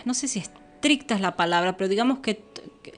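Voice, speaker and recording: A woman speaking as a podcast guest in a relaxed, rambling way, full of fillers and restarts and quick to laugh. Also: medium pitch. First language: Spanish, with English since early childhood. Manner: rambling, frequent fillers and self-interruptions, laughs easily. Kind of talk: casual conversational interview.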